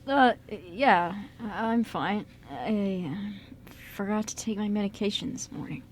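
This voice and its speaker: An awkward con man, played by a woman at medium pitch. He mutters haltingly and gets flustered easily, in the style of an amateur radio play. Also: slight background noise, close to an everyday voice.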